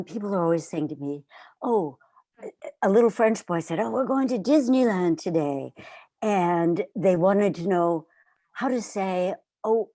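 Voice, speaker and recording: A woman's voice: fairly low pitch, warm tone, no room sound. Woman telling an anecdote, dry sound. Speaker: reading group participant. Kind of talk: casual group discussion, anecdote.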